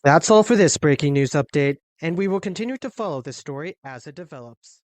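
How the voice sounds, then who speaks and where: A man acting as an American radio news anchor for an audio drama. His voice sits fairly high for a man and is recorded close and dry. He delivers scripted bulletins in a grave, formal, measured way. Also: animated, no echo, lightly acted.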